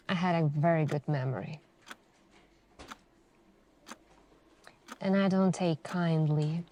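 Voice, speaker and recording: A woman playing a suspicious police detective in an ASMR interrogation roleplay, speaking in a deliberate, insinuating way with pointed pauses. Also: lowish voice, a little mellow. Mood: quietly threatening.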